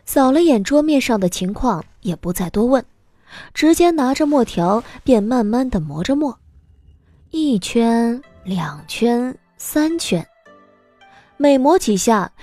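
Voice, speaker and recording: Woman reading an audiobook, highish voice, very lively intonation, dry sound, soft music under it. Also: dry recording. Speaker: narrator. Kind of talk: scripted audiobook narration.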